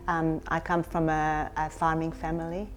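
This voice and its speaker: A middle-aged woman from Hungary speaks with a slightly low voice. She answers interview questions thoughtfully, pausing on fillers and restarting her sentences. Faint music plays underneath. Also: little pitch movement. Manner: reflective, many fillers and restarts. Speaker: company CEO, guest.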